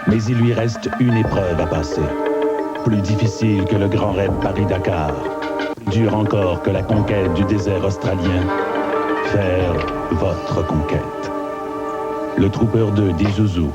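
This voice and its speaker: Very deep male ad announcer. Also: steady tone, unhurried, lo-fi sound, over loud music. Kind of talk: scripted commercial voice-over.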